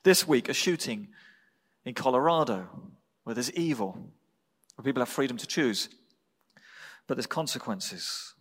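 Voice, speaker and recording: A man with an English accent preaching a sermon as a pastor, speaking in measured, deliberate phrases with pauses. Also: mid-range voice, expressive, slightly rough. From England. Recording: reverberant hall.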